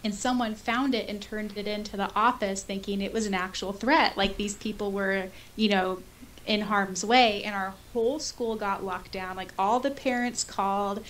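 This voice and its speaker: A woman in her thirties with an American accent, co-hosting a podcast in a casual, animated, chatty way. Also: medium pitch, normal speed, rough recording. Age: 30s. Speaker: podcast co-host. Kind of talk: casual chat, reading out and reacting to listener comments. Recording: clear background noise with hiss.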